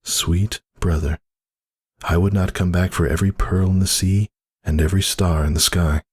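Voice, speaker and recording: A man's voice: low-pitched, fairly even intonation, normal speed, breathy edge, voice pushed up. A man voicing a weary but defiant pegasus mother, speaking earnestly in a dramatic story reading. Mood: weary, firm. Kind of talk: dramatic fan fiction reading.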